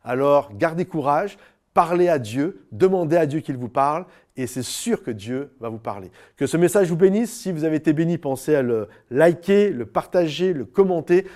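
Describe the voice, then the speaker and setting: A man's voice: fairly high pitch, animated, moderate pace, darkish tone. A man from France, speaking as an informal preacher and storyteller, emphatic, stretching key words and addressing listeners directly. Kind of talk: informal devotional talk with personal storytelling.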